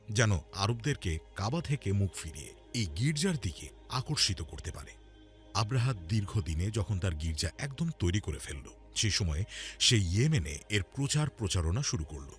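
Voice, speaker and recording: A man's voice: on the low side, very animated, moderate pace. A man narrating a scripted religious cartoon story in a dramatic storytelling style. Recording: music under the voice. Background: background music.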